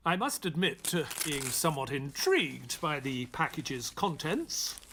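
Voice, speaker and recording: Earnest English doctor sidekick for radio play: polite, bewildered, unhurried, expressive pitch. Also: male voice, slight background noise, exaggerated voice. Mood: puzzled. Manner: hesitant, flustered, polite. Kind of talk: audio drama acting and narration.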